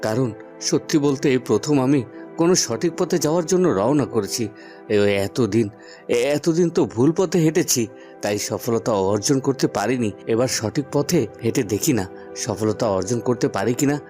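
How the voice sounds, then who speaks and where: A man playing an earnest young prince in a cartoon, speaking with halting, repeated words. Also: medium pitch, lively intonation, mellow, faint music behind, lightly acted. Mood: reassuring determination. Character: earnest young prince devoted to his grandmother.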